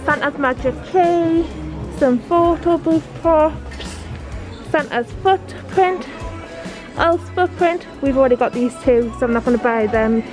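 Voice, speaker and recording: A woman's voice: high-pitched, unhurried. A woman in her thirties from Newcastle with a Geordie accent, vlogging a shopping trip and chatting eagerly about prices and finds. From Newcastle upon Tyne, England. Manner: chatty, enthusiastic, reads out prices. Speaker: family vlogger. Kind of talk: casual shop-with-me vlog. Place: large indoor discount store. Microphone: handheld camera. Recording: shop music and crowd noise behind. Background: store music and shoppers.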